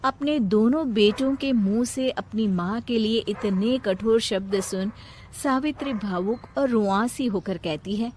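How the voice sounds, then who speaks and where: A woman's voice: fairly high pitch, unhurried, darkish tone, a little hiss or hum. A woman storyteller reading scripted narration of a moral family story.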